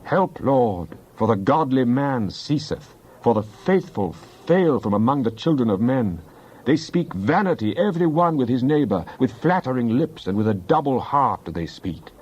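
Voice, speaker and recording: A man reads scripture as a dramatic narrator, solemn and declamatory. His pitch sits in the middle of the male range and he phrases each line deliberately. The recording is somewhat dull and band-limited. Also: very animated, darkish tone, husky. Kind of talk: dramatized Bible reading.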